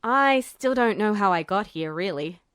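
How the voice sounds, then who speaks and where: Brash teen boy for fan fiction audiobook, woman performing: offhand, slangy, stubborn, quick-tempered, close dry recording. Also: mid-range voice, expressive, fairly bright tone, smooth, no room sound, pitched below the performer's own voice, close to an everyday voice.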